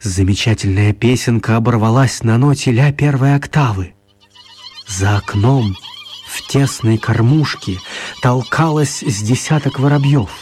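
A man's voice: mid-range voice, a little slow, darkish tone, a little hiss or hum. A man narrating a children's radio play in a scripted storytelling style.

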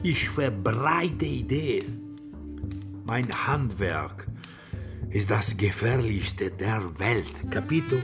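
Flamboyant Italian bookseller, swinging pitch. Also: male voice, animated, takes it slowly, gravelly, exaggerated voice. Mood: grandiose. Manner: theatrical, emphatic, peppered with Italian words. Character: flamboyant, shrewd Italian bookseller, a persuasive travelling trader. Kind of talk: radio drama acting. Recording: studio radio drama with loud music behind.

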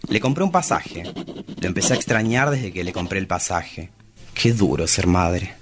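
A lovestruck, motherly cabaret diva played by a man confides in a low Argentine voice. The delivery is theatrical and poetic, as in a diary read aloud for a dramatized comic. Clear noise sits behind the voice. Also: normal speed, lightly acted. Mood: tender, lovestruck. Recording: clearly noisy room recording.

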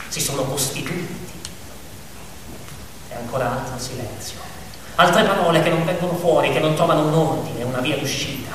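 A man with a high voice delivers a theatrical narrative monologue. He is heard from a distance in a very echoey hall with faint noise. His delivery is dramatic and declamatory, built on repetition and pointed pauses. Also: fairly bright tone. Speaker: actor-narrator.

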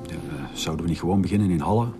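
An actor with a Flemish accent playing a measured, authoritative police director in a radio drama. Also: male voice, sits low, over music. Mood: businesslike.

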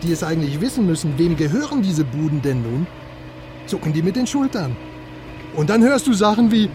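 An actor playing a chatty, excitable East Berlin investigator in a radio crime drama, talking in wry colloquial exclamations and questions. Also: male voice, a little high, natural voice. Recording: music behind the dialogue. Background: music, moving car.

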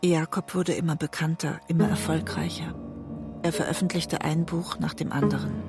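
A woman playing a narrator recounting her life in a radio drama, in an even, matter-of-fact manner. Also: deep voice, moderate pace, over music. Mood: sober, recollecting.

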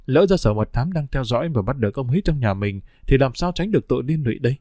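A man voicing a calm, careful middle-aged husband and father with a measured delivery in a storybook reading. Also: no room sound, clean studio sound, close to an everyday voice. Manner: low, measured, steadying.

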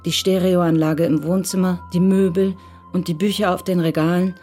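A woman reading scripted narration for a radio crime drama in a composed, measured way. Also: on the low side, level tone, mellow, soft background music.

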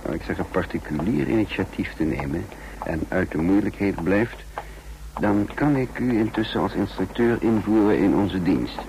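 A composed secret agent with a deep voice. He speaks formally and deliberately, as narrator and character in a radio drama. The old recording carries faint noise. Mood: courteous, appreciative. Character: composed male intelligence agent recounting his secret mission.

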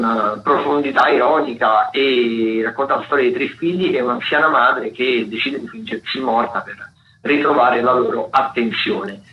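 A man hosting a radio show, reading out cultural listings in a halting, digressive, self-correcting way. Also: mid-range voice. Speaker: radio host. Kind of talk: unscripted radio listings of theatre and dance events. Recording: roomy, dull lo-fi radio sound, faint noise.

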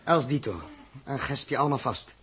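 An actor playing a brisk, decisive male spaceship captain in a 1960s radio drama, urgent, clipped and commanding. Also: mid-range voice, animated, a bit bright, slightly rough. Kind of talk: 1960s Dutch radio science-fiction acting.

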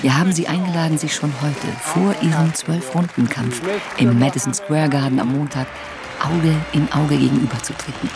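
A polished television show announcer with a slightly high voice. He formally introduces the evening's guests over clear music and audience noise. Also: normal speed, a crowd in the background. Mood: polished, welcoming. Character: polished TV talk show announcer welcoming viewers. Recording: music and studio audience behind.

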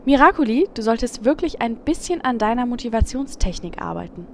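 A woman's voice: on the high side, very animated, fairly quick, a little hiss or hum. A woman playing a stern, no-nonsense leader of adventurers in a fantasy parody audio drama. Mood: dry. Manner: stern, dry.

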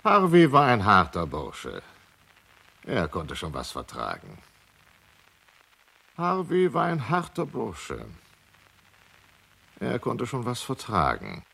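A man's voice: fairly low pitch, wildly swooping pitch, slow, gravelly, pauses often, sharp tone, breathy edge, heavily acted. An actor playing a tough male witness vouching for a hard fellow in a radio play. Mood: emphatic.

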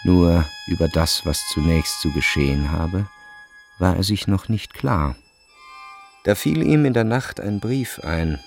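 Gravelly, low-voiced male radio play narrator. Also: lively intonation, unhurried, faint music behind.

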